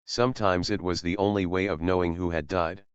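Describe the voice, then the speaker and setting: Man, narrator, low smooth voice, scripted historical reading, dry lo-fi recording. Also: fairly even intonation, brisk, dry recording. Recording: dry but dull, lo-fi sound.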